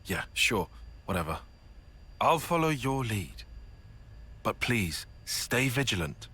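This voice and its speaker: A man's voice: medium pitch, sing-song, slow pace, pushed and bright, breathy edge, full character voice. A man playing a wary young soldier in a game, with a dry, sardonic, grudging manner. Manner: sardonic, clipped, exasperated.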